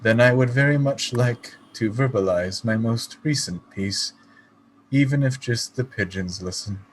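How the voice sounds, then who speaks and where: Man playing a slow, stilted, melancholy android. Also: mid-range voice, slight background noise, lightly acted, poor audio. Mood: wistful. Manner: stilted, formal, halting delivery. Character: melancholy, polite male android poet, fast-food worker. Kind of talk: radio play acting.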